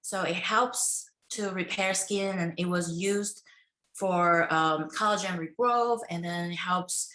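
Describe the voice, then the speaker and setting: A woman from Taiwan on a video-call panel, speaking quickly with slightly broken phrasing. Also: fairly low pitch, fairly even intonation, sharp tone. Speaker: brand founder on a panel. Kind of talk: casual panel answer. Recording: echoey room.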